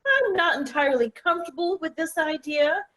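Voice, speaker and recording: A woman's voice: very high-pitched, expressive, normal speed, fairly bright tone. A woman playing a prim, skeptical mother of a grown son, with clipped delivery in a play reading. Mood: disapproving. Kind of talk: virtual table read of a stage comedy-drama.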